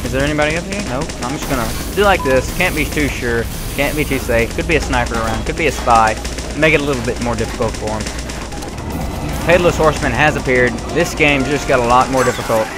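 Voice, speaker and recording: Rambling, slightly hoarse young man gaming. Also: mid-range voice, fast. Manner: rambling, self-correcting, chatty. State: getting hoarse. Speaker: gaming video host. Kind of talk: casual gameplay commentary. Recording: loud game music behind. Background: game sounds and gunfire.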